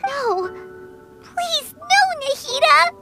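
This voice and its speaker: Game fairy sidekick: tiny, squeaky, swooping delivery, anxious and excitable, loud music behind. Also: female voice, drawn-out words, creaky, heavily acted. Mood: upset. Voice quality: squeaky, childlike. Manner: emotional, exclamatory. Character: small childlike fairy companion, anxious, excitable, speaks in third person. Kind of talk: video game voice acting.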